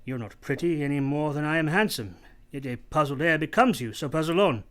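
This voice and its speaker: An actor playing a brusque, teasing, moody gentleman master of the house in a radio play, imperious and halting. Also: fairly high pitch, expressive. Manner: imperious, abrupt, probing, halting delivery.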